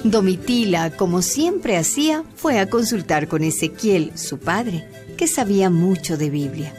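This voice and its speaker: A woman narrating a radio drama in a calm, explanatory way. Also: fairly low pitch, unhurried, over music.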